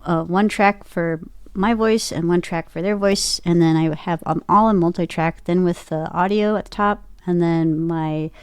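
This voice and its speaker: A woman's voice: lowish voice, fairly even intonation, normal speed, darkish tone, smooth, dry recording, clean studio sound. A woman chatting casually and informally as an interview guest. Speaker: guest, voice actor and podcaster.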